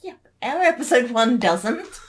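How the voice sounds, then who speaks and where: A woman's voice: a little high, big pitch swings. A woman giving casual director's commentary, bantering in a contrary, teasing way. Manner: contrary, teasing, quick to argue.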